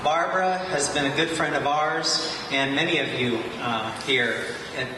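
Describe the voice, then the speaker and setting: Man, warm-toned host, welcome speech, echoey distant hall recording. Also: fairly high pitch, measured pace. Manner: informal, with frequent "uh" fillers. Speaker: wealth advisor hosting an event. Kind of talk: welcome speech at an evening event. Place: large echoey venue, a car showroom. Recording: echoey hall from far off, noisy.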